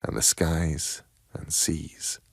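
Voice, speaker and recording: A man reading a short story aloud in a measured, expressive storytelling manner. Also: very deep voice, vocal fry, husky, no echo, clean studio sound. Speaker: storyteller, narrator.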